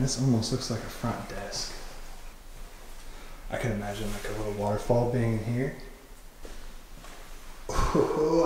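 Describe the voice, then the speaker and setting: A young man in his twenties from Oklahoma, casually narrating as he explores an empty house, excited and slangy, laughing often. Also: medium pitch, a little hiss or hum. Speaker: urban explorer vlogger. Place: large empty unfinished house, echoing rooms. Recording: very echoey empty rooms.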